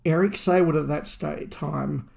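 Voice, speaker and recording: A middle-aged man speaks with a fairly high voice and a lot of creak. He is an informal podcast co-host, talking through a review in a conversational way. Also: fairly even intonation, unhurried, darkish tone. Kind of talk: casual review chat.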